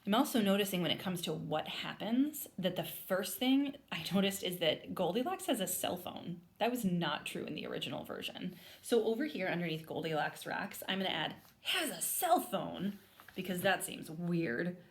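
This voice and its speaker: A woman's voice: lowish voice, expressive, moderate pace, few pauses. A woman teacher leading an interactive read-aloud lesson for young children in an explanatory manner. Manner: explanatory, spelling words out while writing. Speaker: elementary school teacher.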